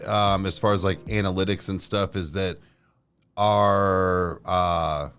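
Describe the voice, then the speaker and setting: A man's voice: low voice, steady tone, slow, fairly bright tone, clear, resonant tone. A man co-hosting a casual livestream who rambles through his thoughts with many "like"s and restarts. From Ohio. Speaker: co-host of a reaction channel livestream.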